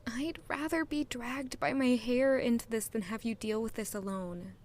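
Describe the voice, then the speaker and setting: A woman in an audio drama playing a caring young maid, speaking gently and earnestly to reassure. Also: fairly high pitch, steady tone, moderate pace, lightly acted.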